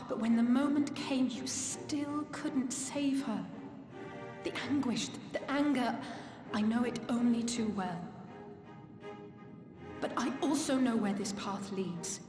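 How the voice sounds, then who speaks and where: An actress playing an earnest, passionate heroine in a video game, speaking formally and with conviction. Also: female voice, a little high, natural voice. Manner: formal, impassioned, measured speech. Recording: echoing hall reverb with music behind.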